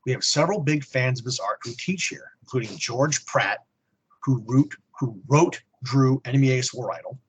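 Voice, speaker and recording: Male podcast co-host, average pitch, a little raspy, casual conversational read. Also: normal speed, lo-fi sound. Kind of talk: casual chat and reading listener email aloud.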